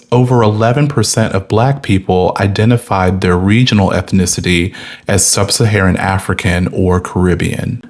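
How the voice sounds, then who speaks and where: Low-voiced American man, measured explainer. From United States. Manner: measured, deliberate, methodical. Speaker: commentator presenting an explainer. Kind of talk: scripted explanatory narration.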